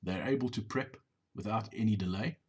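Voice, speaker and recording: A middle-aged business owner giving a measured, explanatory voice-over on drive-thru footage, sometimes repeating words. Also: male voice, on the low side, darkish tone, husky.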